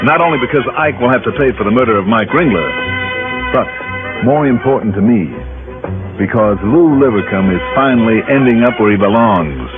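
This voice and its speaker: Actor as wry American detective, tinny old radio. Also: male voice, mid-range voice, animated, brisk, lightly acted. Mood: satisfied, wry. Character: tough, confident male insurance detective, wry and self-assured. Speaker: lead actor and narrator of a radio detective series. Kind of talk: old-time radio detective acting and narration. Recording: old narrowband radio recording, music behind.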